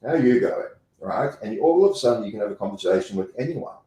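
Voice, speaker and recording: A man's voice: on the low side, animated, quick pace, warm tone, echoey room, rough recording. A man with a South African accent, talking as a guest in an interview in an emphatic way and repeating phrases for effect. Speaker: guest, company president. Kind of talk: interview conversation.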